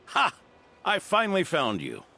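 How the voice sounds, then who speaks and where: A man voicing a kindly, protective older grandfather figure in a video game, with a gravelly voice. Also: fairly high pitch, wildly swooping pitch, measured pace, music in the background, exaggerated voice. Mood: triumphant.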